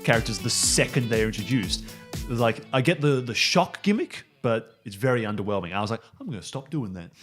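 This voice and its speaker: A man's voice: mid-range voice, brisk, faint music behind. A man who runs the tabletop game, chatting casually and animatedly with his players, acting out little quotes as he talks. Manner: animated storyteller, acts out quotes. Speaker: game master and show creator. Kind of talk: casual group chat.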